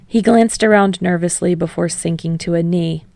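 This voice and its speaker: A woman's voice: on the low side, moderate pace, vocal fry, darkish tone, dry recording. A woman reading fiction aloud as an audiobook narrator in a scripted storytelling style.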